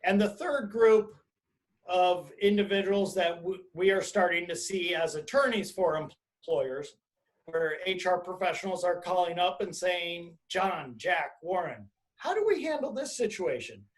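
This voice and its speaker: A man giving an explanatory webinar presentation as an attorney, speaking deliberately and emphatically in short phrases over a video call. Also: high voice, animated, low-quality recording. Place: indoors, on a video conference call.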